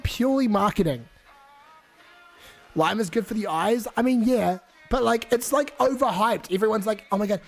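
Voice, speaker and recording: Sick kiwi guy streaming, swears a lot, rambles, reads chat, kinda high voice. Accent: New Zealand. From New Zealand. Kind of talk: casual live-stream chat.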